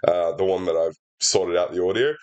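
A man's voice: deep voice, brisk, clear, resonant tone. Stuffy-nosed young man explaining a puzzle. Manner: chatty and relaxed, many fillers, explains steps aloud. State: congested, stuffy sinuses. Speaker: puzzle setter and walkthrough host. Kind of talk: casual sudoku solving walkthrough. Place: indoor room at a desk. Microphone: laptop built-in mic.

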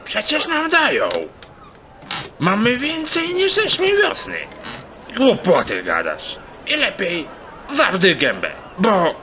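A man's voice: sits high, huge pitch swings, takes it slowly, background noise, full character voice. An actor playing a stubborn, pious and grumbling old man in an audio drama. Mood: irritated. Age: older.

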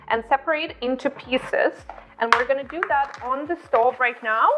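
A bubbly woman talks through a cooking tutorial, her voice sitting fairly high. She speaks on a clip-on mic in a home kitchen. Light music plays softly underneath. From Ukraine. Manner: bubbly and chatty. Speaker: cooking channel host. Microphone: clip-on lapel mic.

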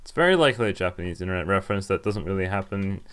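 A man's voice: deep voice, expressive, fairly bright tone, clean tone. A young man giving casual game playthrough commentary and reading text aloud, stumbling a little as he goes. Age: young adult. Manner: halting, stumbling while reading. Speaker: game streamer. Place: indoor room, webcam recording.

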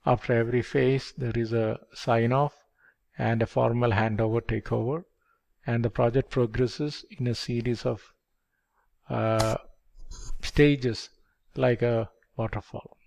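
An older man explaining project life cycles step by step, in a measured lecture delivery on a headset microphone. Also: medium pitch, level tone, gravelly, a little mellow. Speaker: project management instructor. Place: dim indoor room. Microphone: headset mic.

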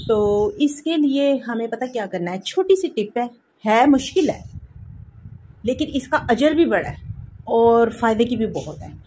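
A woman's voice: fairly high pitch, rough recording. Woman, sore throat, occasional cough, home kitchen, faint background hum, casual vlog chat. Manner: warm religious blessings, chatty reflective. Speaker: cooking vlog host. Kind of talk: casual advice chat to viewers.

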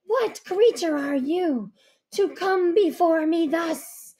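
A woman's voice: very high voice, slow pace, mellow. A woman voicing a volatile, imperious female spring spirit queen who speaks in haughty, archaic phrases. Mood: haughty, angry.

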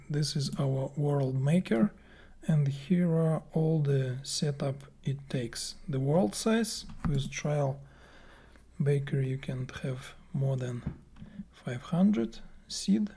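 Guy doing a screen tutorial, slow and halting, soft husky voice that drops to whispers. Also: fairly high pitch. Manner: slow, halting, thinking aloud with many fillers. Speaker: game plugin developer. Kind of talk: screen-recorded software tutorial.

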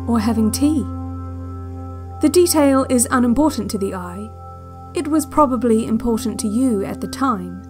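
Slow, hypnotic actress as relaxation-tape woman over music. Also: fairly high pitch, natural voice. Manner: measured, deliberate, soothing instructions with long pauses. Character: calm, eerily watchful woman guiding a relaxation cassette.

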